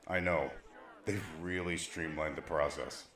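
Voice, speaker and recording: A man's voice: a bass voice, steady tone, a little slow, fairly bright tone. A man playing a grave, weary survivor leader in an apocalyptic audio drama, speaking in a terse, solemn, dramatic way. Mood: exasperated. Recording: roomy echo, faint dramatic music behind.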